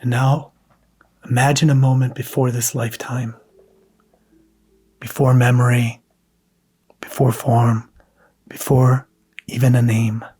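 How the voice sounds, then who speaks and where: A man guiding a scripted meditation in a soft, hushed, breathy manner, leaving long pauses between phrases. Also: mid-range voice, low-quality recording. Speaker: meditation guide.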